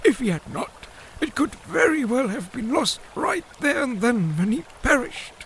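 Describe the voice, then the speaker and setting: Gravelly old fish creature sage, high voice, formal and long-winded, audio drama, bit of background noise. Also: male voice, very animated, a bit bright, full character voice. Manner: halting, formal, ruminative storyteller. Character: elderly male fish-man scholar, wistful, long-winded.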